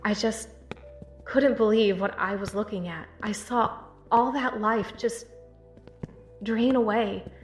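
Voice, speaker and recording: A woman's voice: medium pitch, fairly even intonation, natural voice. An actress plays a small-town sheriff in an audio drama, a protective woman with old grief. She speaks earnestly and steadily in a middle register. The sound is very reverberant, like a tunnel, with faint music underneath. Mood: sorrowful, haunted. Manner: measured, earnest, halting when emotional.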